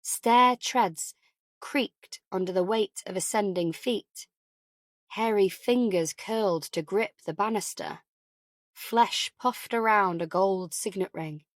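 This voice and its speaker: A woman's voice: mid-range voice, slow, no echo. A woman reading a thriller novel as a scripted audiobook narration.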